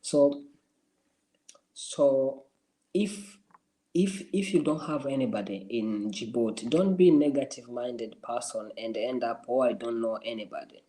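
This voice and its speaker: A man's voice: medium pitch, lively intonation, a little slow, mellow, low-quality recording. A man from Somalia, hosting a casual livestream and giving encouraging advice to viewers, often repeating and restarting his phrases. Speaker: livestream host answering viewers.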